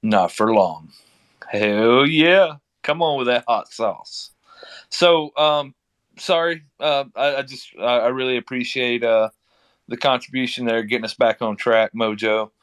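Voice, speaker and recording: A man's voice: medium pitch, measured pace, a bit bright. A man casually hosting and moderating speakers in an online group audio chat.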